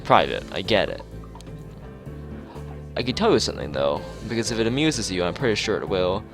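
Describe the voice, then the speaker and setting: A man's voice: fairly low pitch, sing-song, brisk, gravelly, over music. A man voicing a reserved, wry teenage schoolboy in a visual novel, polite and slightly defensive.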